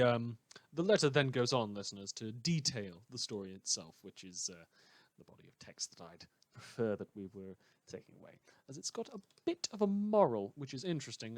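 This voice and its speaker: A man's voice: mid-range voice, big pitch swings, normal speed, no room sound. A man hosting a radio show, reading news and letters in a polite, understated way with hesitant asides.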